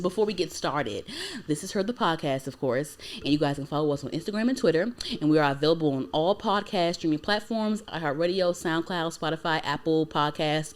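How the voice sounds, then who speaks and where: A woman in her late twenties hosting a podcast, chatting casually with lots of fillers and "like"s. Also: sits low. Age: 20s. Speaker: podcast host. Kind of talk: casual chat.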